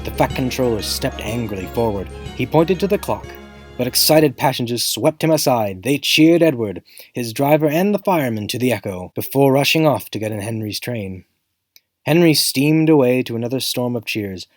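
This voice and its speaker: A man's voice: medium pitch, no echo. Theatrical man reading a story over music. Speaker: storybook reader. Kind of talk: scripted story narration.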